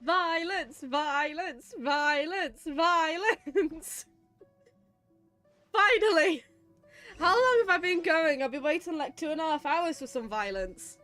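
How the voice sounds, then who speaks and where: A woman's voice: sits high, takes it slowly, sharp tone. A woman giving casual, playful live commentary as she plays a video game, talking to herself and exclaiming. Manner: playful, self-narrating, exclamatory. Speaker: game streamer. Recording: game music playing behind the voice. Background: game music.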